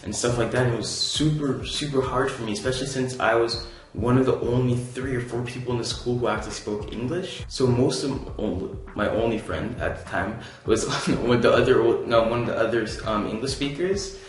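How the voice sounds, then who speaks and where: Young guy from Canada, casual rambling vlogger, middle pitch, very roomy echo. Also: fairly quick. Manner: rambling, filler-heavy, laid-back. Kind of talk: casual storytelling vlog. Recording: very echoey room.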